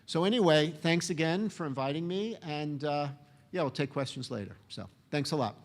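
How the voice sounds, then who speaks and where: A man giving a conference talk in a relaxed, conversational way, with frequent fillers. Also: a little high, moderate pace. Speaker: conference speaker.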